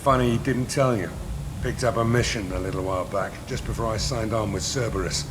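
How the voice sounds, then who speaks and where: An actor playing a gruff, cynical older mercenary in a video game, with a rough voice and blunt, menacing delivery. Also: male voice, on the low side, a little fast, noisy background, natural voice. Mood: matter-of-fact. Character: gruff, hard-bitten older male mercenary, cynical and ruthless.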